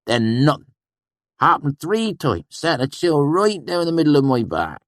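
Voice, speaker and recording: A man's voice: on the high side, very animated, measured pace, dry recording, clean studio sound, pitched above the performer's own voice. A man voicing an irritable old rustic swineherd with blustery, countrified speech. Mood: spooked.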